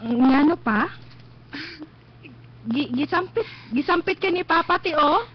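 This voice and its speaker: A woman voicing an obedient, concerned young brother with a husky voice in a radio drama. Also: high-pitched, expressive, unhurried. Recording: muffled lo-fi stream.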